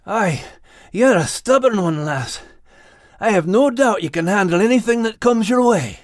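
A man voicing a plucky, kindly male dog with a gruff, hearty manner and a Scottish accent, in an audiobook. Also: high voice, lively intonation, moderate pace, no echo, clean studio sound, pitched above the performer's own voice. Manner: hearty, growling under his breath.

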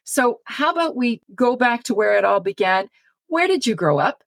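A woman's voice: a little high, very animated, normal speed, gravelly, no echo. A woman hosting a podcast interview, introducing her guest and asking questions with enthusiastic, effusive delight. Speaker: podcast host.

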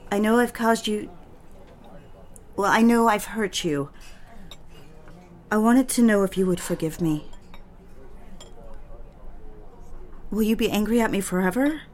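A woman's voice: mid-range voice, moderate pace, pauses often, close to an everyday voice. A woman playing a needy, defiant, newly married daughter in an audio drama, theatrical and pleading. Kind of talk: dramatic audio fiction with first-person narration.

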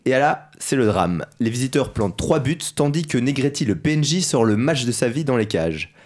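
A man reading his football column on a radio show, telling it as a lively story with asides to the listeners. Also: mid-range voice, normal speed.

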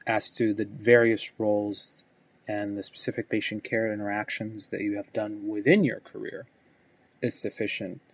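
Male caller, thirties, lowish voice, very unhurried and careful, polite question, dry close sound. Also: no echo.

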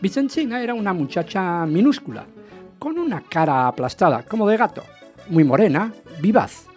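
A man's voice: high voice, very animated, moderate pace, darkish tone, soft background music. An actor playing a jealous, agitated husband in a radio play, insistent and dramatic as he narrates and questions. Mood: brooding narration. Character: jealous, agitated husband recounting his hunt for a rumour. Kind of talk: radio theatre narration and dialogue.

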